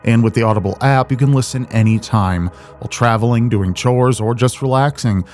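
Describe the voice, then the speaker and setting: A man's voice: medium pitch, normal speed, a little mellow, slight background noise. A man hosting a reaction video, giving informal, jokey commentary in a rambling way. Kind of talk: casual, profane reaction commentary.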